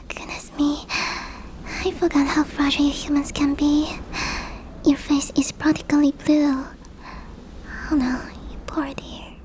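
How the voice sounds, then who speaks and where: A woman voicing a big fluffy motherly yeti in an ASMR roleplay, cooing gently and teasingly in a soft, breathy whisper. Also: high voice, a little hiss or hum, low-quality recording. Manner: cooing, nurturing, slow and teasing.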